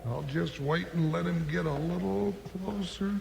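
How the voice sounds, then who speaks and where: An actor playing an excitable, folksy, talkative western sidekick in a 1950s radio drama. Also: male voice, fairly high pitch, normal speed, creaky. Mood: wary muttering. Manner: folksy drawl, yells when excited. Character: excitable, talkative old-west sidekick deputy, comic and loyal. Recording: hall reverb, faint hiss of old radio recording.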